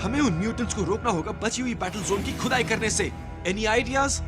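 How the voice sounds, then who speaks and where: Commanding high-pitched young team leader. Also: male voice, expressive, brisk. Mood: serious, decisive. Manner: urgent, decisive, giving orders. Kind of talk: animated cartoon dubbing. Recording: loud music behind voice.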